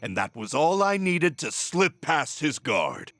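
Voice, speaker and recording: An embittered villain of a video game, a middle-aged false father consumed by obsession. He speaks slowly and theatrically, with big swings in pitch and a taunting edge, recorded close and dry. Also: sing-song, fairly bright tone, no room sound, heavily acted. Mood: bitter, gloating. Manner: theatrical, taunting, drawn-out delivery. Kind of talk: dramatic video game voice acting.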